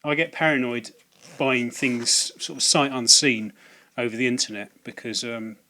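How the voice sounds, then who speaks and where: Man, slightly hoarse, rambling vlog chat, hesitant, restarts a lot, wry asides. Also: medium pitch, steady tone, normal speed, bright, forceful tone. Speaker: video game collecting vlogger. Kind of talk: casual rambling vlog to camera.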